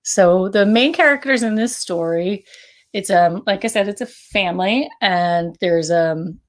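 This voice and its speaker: A middle-aged woman speaks as a writer presenting a project. Her voice sits at a medium pitch, and her delivery is casual and conversational, like an informal promotional chat. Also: a little slow. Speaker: co-author of a fiction podcast. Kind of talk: casual promotional talk about a story.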